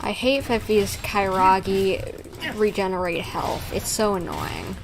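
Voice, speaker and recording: A woman's voice: mid-range voice, slow pace, gravelly, music in the background. A woman giving casual livestream commentary on a video game, half talking to herself as she reacts to play. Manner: muttering reactions to play, self-talk. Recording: noisy stream with game sounds.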